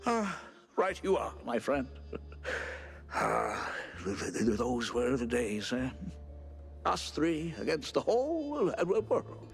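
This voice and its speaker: An actor playing a nervous, stammering politician in a noir cartoon game, hesitant and often trailing off. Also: male voice, mid-range voice, very animated, slow, vocal fry, long pauses, soft background music, heavily acted. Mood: wistful. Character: nervous, stammering mouse politician, an old friend drowning regrets.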